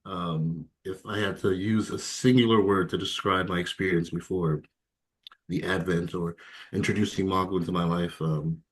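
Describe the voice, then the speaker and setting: A man in his thirties from Ghana, telling his personal story as an interview guest, reflective and hesitant, with many fillers and soft laughs. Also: sits low, steady tone, normal speed. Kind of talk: candid personal testimony.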